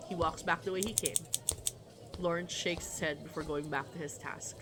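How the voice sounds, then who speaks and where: A woman's voice: deep voice, steady tone, moderate pace, background noise. A woman livestreamer who reads a story game aloud and chats casually, with informal, wry commentary. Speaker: streamer reading a visual novel aloud. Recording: music behind the voice.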